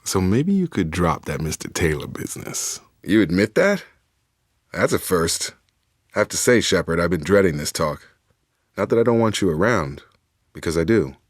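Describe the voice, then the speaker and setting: A man playing a young, steady, principled soldier in a sci-fi video game, speaking in direct, clipped military phrases. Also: sits low, moderate pace, no room sound, clean studio sound. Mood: calm, guarded.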